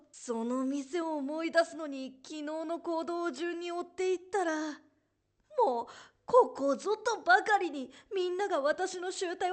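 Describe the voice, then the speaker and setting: An actress playing a cheerful, sheepish young woman who drinks too much, in an animated amateur radio drama. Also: very high voice.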